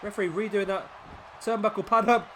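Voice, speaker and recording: A man, sniffly with a cold, commentating a wrestling video game play by play and getting excited at big moves. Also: high-pitched, a little fast, noisy background.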